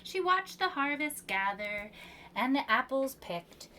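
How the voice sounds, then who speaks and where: A woman with a fairly high voice reads a picture book aloud to young children. Her delivery is very unhurried and expressive, phrase by phrase. Clear background noise sits behind her voice. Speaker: preschool storyteller.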